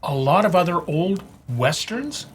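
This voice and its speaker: A man's voice: high voice, very animated, unhurried, a little hiss or hum. A man reading a scripted outro as host of an audio channel.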